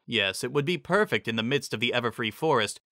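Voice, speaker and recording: Bright, animated male storyteller. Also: mid-range voice, fast, dry recording, clean studio sound. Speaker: narrator. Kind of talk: dramatic scripted storybook narration.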